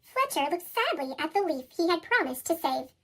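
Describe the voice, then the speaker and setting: A woman reading a children's storybook with expressive delivery, her voice shifted up by a chipmunk effect. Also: moderate pace. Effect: pitched-up chipmunk voice effect. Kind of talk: scripted read-aloud storybook narration.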